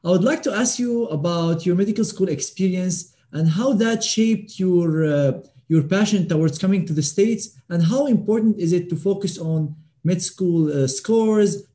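A man's voice: sits high, moderate pace, few pauses, mellow. A young man hosting a channel, asking brief friendly questions over a video call. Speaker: channel host. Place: home room with bookshelf, indoors. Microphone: video call webcam mic.

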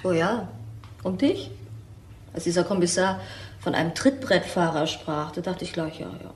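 A woman's voice: lowish voice, normal speed, lightly acted. An actress playing an anxious woman who distrusts the police, in a crime radio drama. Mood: relieved, anxious. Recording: echoey room, faint background noise.

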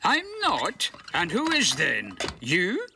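A man voicing a patient old farmer in a cartoon, kindly but gently firm and chiding. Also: sits high, wildly swooping pitch, takes it slowly, creaky, background noise, heavily acted. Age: older. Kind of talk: cartoon voice acting. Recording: music under the voice.

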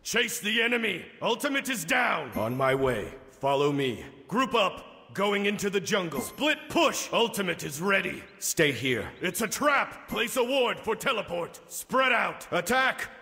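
Vengeful male god of death, video game character, grave and menacing, forceful edge, long reverb. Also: high voice, big pitch swings, a little slow, vocal fry, heavily acted. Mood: commanding. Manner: grave, menacing, theatrical pronouncements.

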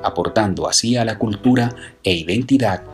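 A man narrating a short documentary in an even, read-aloud manner. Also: lowish voice, moderate pace, faint music behind. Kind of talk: scripted narration.

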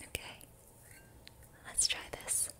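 Woman whispering very slowly, breathy ASMR. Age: young adult. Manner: slow, gentle, soothing instruction. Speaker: ASMR host guiding reiki relaxation. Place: small dim indoor room. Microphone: close studio mic with foam windscreen.

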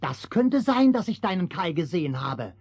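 A woman playing a chattering, friendly forest crow with croaky, repetitive speech in a fairy-tale audio drama. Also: on the low side, sing-song, normal speed, darkish tone, no room sound, clean studio sound, exaggerated voice. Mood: eager. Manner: croaky, repeats phrases.

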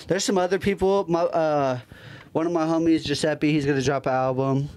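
A man's voice: on the high side, fairly even intonation, a little fast, darkish tone. A young man co-hosting a casual podcast, talking in loose street slang into a handheld mic. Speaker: podcast co-host. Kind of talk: casual slangy group chat. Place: small room with couches, indoors. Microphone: handheld microphone.

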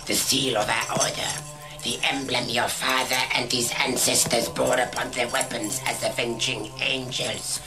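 An actor playing an ancient, stern and cryptic dwarf teacher in a radio drama, slow, theatrical and commanding. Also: male voice, medium pitch, vocal fry. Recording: loud music under the voice.

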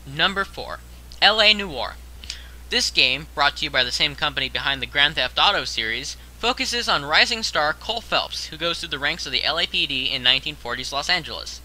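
Young adult male list-show host, very bright forceful voice, slightly high, scripted but conversational delivery. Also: lively intonation, fairly quick, low-quality recording. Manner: conversational, addresses the viewer with rhetorical questions. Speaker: countdown video host. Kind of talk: scripted top-ten list narration.

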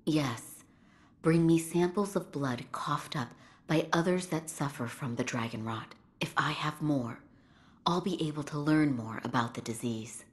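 An actress playing a calm, studious physician in a feudal fantasy video game, measured, soft-spoken and serious. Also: female voice, low voice.

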